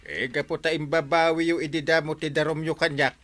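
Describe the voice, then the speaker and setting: An actor playing an excitable, flustered village captain in a comedy radio drama, sputtering with an Ilocano accent. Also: male voice, fairly high pitch, brisk, faint music behind, close to an everyday voice. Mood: dismissive, exasperated. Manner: sputtering, repeats hesitant syllables.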